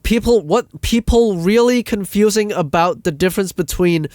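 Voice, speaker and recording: A man's voice: sits high, moderate pace, darkish tone, dry recording, studio quality. A man giving a casual ranting commentary as a video host, exasperated, emphatic and sweary, often repeating himself for stress.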